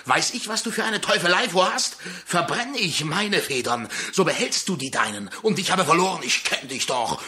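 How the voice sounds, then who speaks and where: An actor playing a sullen, suspicious jungle hunter with brooding, accusing delivery in a German radio play. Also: male voice, high-pitched, animated, moderate pace, hardly any pauses, sharp tone. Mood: angry, accusing.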